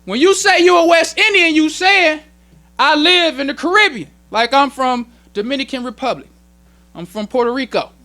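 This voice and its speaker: American man, very high pitch, forceful sharp tone, emphatic colloquial sermon, repeated key points. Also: moderate pace. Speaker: minister teaching at a temple meeting. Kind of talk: religious sermon, explaining teachings.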